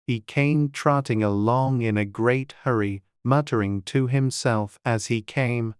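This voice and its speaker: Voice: mid-range voice, measured pace, clear, resonant tone, no room sound, clean studio sound. A narrator reading a children's storybook as a scripted audiobook.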